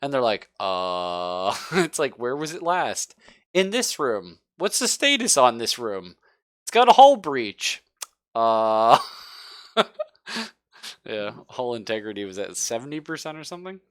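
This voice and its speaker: A man's voice: mid-range voice, very animated, takes it slowly, clear, resonant tone, no room sound, clean studio sound. A man casually narrating a tabletop sci-fi horror game as game master, informal and jokey.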